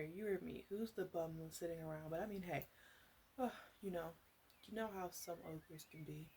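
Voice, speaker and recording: A woman playing a flirtatious witch who sells potions, rambling wryly and hesitantly in a soft ASMR roleplay addressed to the listener. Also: fairly low pitch, a little hiss or hum, close to an everyday voice, low-quality recording. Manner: hesitant, rambling, wry, with asides.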